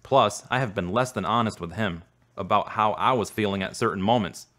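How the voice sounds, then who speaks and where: A man acting as a troubled, remorseful man in an audio drama. His voice is on the low side, and he talks in an earnest, confessional way. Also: fairly quick, fairly bright tone, natural voice.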